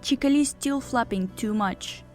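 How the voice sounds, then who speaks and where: A woman's voice: a little high, measured pace, faint music behind, lightly acted. A woman voicing a stern, critical hawk harpy scout in an audiobook, curt and matter-of-fact. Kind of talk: audiobook character acting.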